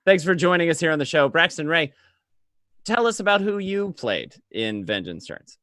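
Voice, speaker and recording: A man's voice: a little high, sing-song, moderate pace, a bit bright, no echo. Enthusiastic man hosting, dry close sound. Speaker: show host. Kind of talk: casual panel interview.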